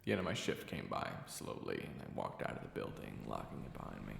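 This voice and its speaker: Man acting a deep, gravelly, weary everyman. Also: wildly swooping pitch, fast talker, fairly bright tone, echoey room, a little hiss or hum, heavily acted. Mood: brooding, resigned. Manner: brooding when narrating, exasperated in dialogue. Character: weary reformed hot-tempered man, a soft-pushover boss. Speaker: narrator and lead character. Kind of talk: dramatic first-person audio roleplay.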